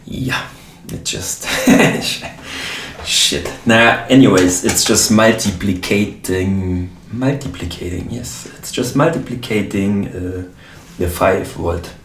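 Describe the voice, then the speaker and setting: A man with a German accent talks casually while assembling his synth case, pausing often to search for English words. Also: lowish voice, a bit bright, a little hiss or hum. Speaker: modular synth hobbyist. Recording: roomy, mic far off.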